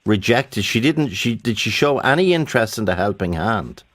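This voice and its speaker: A man presenting a radio interview, prompting his guest with gentle questions and fillers. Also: lowish voice, lively intonation, normal speed. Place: radio studio.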